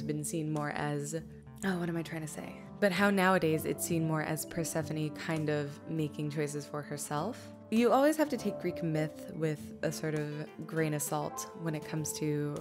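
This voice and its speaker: A woman hosting a casual question-and-answer session, talking in an informal, rambling, blunt and geeky way. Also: low voice. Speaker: Q&A host. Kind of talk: casual chat. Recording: music playing behind the voice. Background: music.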